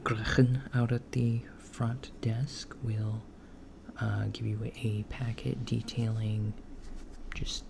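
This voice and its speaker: A man playing a calm, attentive male alien doctor in a softspoken ASMR roleplay, talking gently and hesitantly, with frequent ums and slips into a whisper. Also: on the low side, creaky. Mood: gentle. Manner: soft-spoken, unhurried, slipping into whispers. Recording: lo-fi with steady background hiss.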